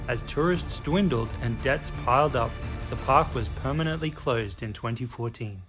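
A man's voice: mid-range voice, normal speed. Wry male vlog narrator over music. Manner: wry, storytelling.

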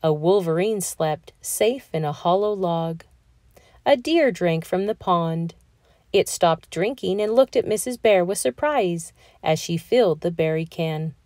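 A woman reading a children's picture book aloud in an expressive, deliberate storytelling manner. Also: on the low side, dry recording, clean studio sound. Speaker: storybook reader.

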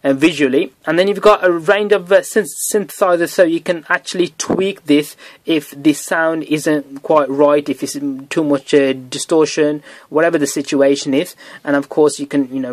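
A man's voice: on the high side, moderate pace, no echo. Chatty man with fillers. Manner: relaxed, chatty, many fillers. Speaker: tech video host. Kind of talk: casual phone tutorial. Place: indoors at a desk.

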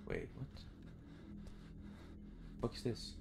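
A man's voice: slow, noisy background. A young man giving casual, sweary game commentary, talking to himself as he reacts. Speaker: gaming YouTuber. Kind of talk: casual horror game let's play commentary. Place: home room, indoors.

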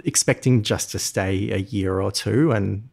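A man's voice: lowish voice, measured pace, creaky, darkish tone. A man, a podcast guest talking in a friendly, storytelling way with frequent ums. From Australia. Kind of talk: casual interview talk.

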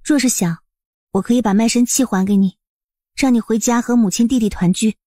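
A woman playing a kind, self-assured young lady of the household, gentle and composed, in a historical audiobook drama. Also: fairly high pitch, fairly quick, no room sound, clean studio sound, close to an everyday voice. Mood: kind, amused.

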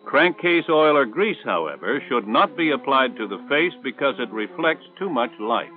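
An American man reading scripted instructional narration for a 1960s military training film in a measured, authoritative way. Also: mid-range voice, very animated, faint music behind. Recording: tinny band-limited 1960s film soundtrack.

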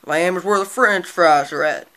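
A goofy, easygoing manatee played by a man who drawls out his words slowly. His voice is gravelly and bright, and it sits a little high for a man. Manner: slow, drawling, gravelly delivery. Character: easygoing manatee who lives on land, male.

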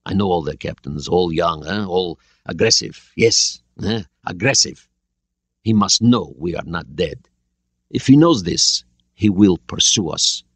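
A man voicing a stern older male Soviet submarine captain who reasons out his plan calmly and deliberately. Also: lowish voice, breathy edge, no room sound, heavily acted. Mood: calm, calculating. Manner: calm, deliberate, reasoning aloud.

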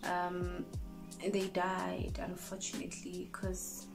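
A woman's voice: on the low side, monotone, slow, vocal fry, music in the background. A young woman in her twenties from South Africa, chatting casually and rambling into her phone camera in a small room. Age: 20s. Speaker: vlogger. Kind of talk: casual get-to-know-me chat. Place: small indoor room, in front of cupboards. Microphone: phone camera at close range.

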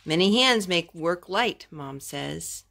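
A young woman reading a children's picture book aloud at home, phrase by phrase in a measured, careful way. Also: fairly low pitch, lively intonation, fairly bright tone. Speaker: storytime host reading to her children. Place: carpeted room at home. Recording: clear background noise and clicks, homemade.

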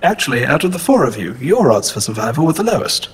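A man's voice: mid-range voice, sing-song, quick pace, slight background noise, natural voice. A man playing a polite, literal-minded robot companion in an audio drama, speaking precisely and formally. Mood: earnest, matter-of-fact.

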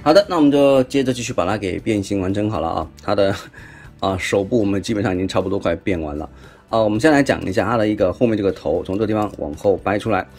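A man's voice: fairly low pitch, quick pace, darkish tone, faint music behind. A man with a Taiwanese accent giving a chatty, casual hands-on toy review, often tossing in rhetorical questions. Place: indoors at a tabletop.

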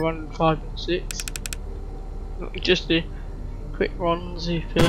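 A man with a high-pitched voice chats casually through a video game. He rambles and thinks aloud, with loud music playing behind him. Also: vocal fry, poor audio. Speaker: gaming video host. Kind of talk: casual gameplay commentary.

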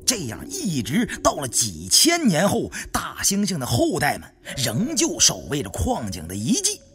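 A man narrating a story as an audiobook, with expressive, theatrical phrasing. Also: a little high, moderate pace, soft background music. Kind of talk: dramatic first-person audiobook storytelling.

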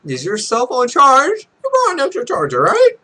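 A man's voice: extremely high pitch, huge pitch swings, moderate pace, pushed and bright, husky, pitched above the performer's own voice, heavily acted. A man voicing a fretful, nagging mother in a visual novel, with exaggerated questioning. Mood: anxious, nagging. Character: fretful nagging mom seeing child off.

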